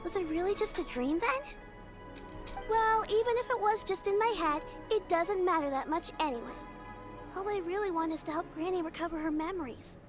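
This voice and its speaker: A woman's voice: extremely high pitch, moderate pace, music in the background. A woman playing an earnest, grateful young girl in a video game.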